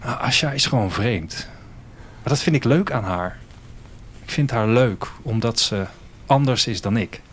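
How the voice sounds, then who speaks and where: A man's voice: medium pitch, lively intonation, unhurried, pauses often, fairly bright tone, breathy edge. An actor playing a reflective man of a future world, narrating a radio drama intimately in the first person. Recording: music under the voice. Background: music.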